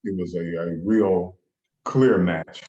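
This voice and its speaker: A low-voiced man joins a casual faith discussion and explains things steadily. His audio comes through muffled and echoey, with a roomy ring and a dull, narrow band. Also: fairly even intonation, slow pace, mellow. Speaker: guest panelist.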